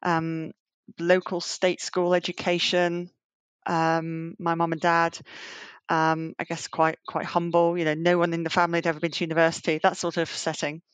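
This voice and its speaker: A woman's voice: on the low side, monotone, normal speed, clean tone, no echo. Chatty woman, dry close sound. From Yorkshire, England. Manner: chatty, many fillers and restarts. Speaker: guest, banking executive. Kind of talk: remote interview conversation.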